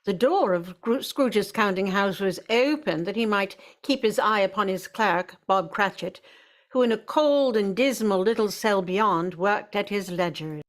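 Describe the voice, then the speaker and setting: A woman's voice: mid-range voice, a little slow. A woman reading scripted storytelling narration for a vintage-style radio drama.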